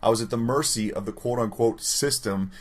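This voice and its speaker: A man narrating a scripted sales pitch with rhetorical, list-like phrasing. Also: a little fast. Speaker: advert narrator.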